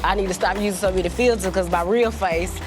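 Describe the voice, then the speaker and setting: A woman with a deep voice talking reflectively in a casual group chat, with many fillers. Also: steady tone, fast, music in the background. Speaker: panelist.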